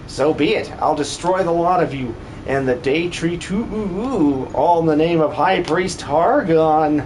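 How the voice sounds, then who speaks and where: A huge ape villain from a video game, acted out by a man, delivers a slow, pompous monologue. He gloats and threatens, dragging out his words over clear background noise. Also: fairly high pitch, expressive, darkish tone, poor audio. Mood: gloating, threatening.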